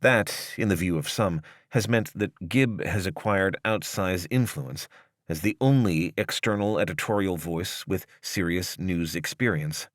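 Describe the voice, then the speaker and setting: A man reading a scripted piece of long-form journalism as narrator, in a measured, articulate way. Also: deep voice, dry recording, clean studio sound.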